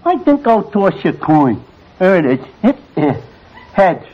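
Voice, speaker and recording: An actor playing a dim-witted, hesitant tavern regular in a 1940s radio comedy. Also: male voice, sits high, big pitch swings, takes it slowly, full character voice. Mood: dopey, earnest. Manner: doltish, hesitant. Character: Finnegan, dim-witted, slow-thinking male tavern regular. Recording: muffled, noisy 1940s radio with audience.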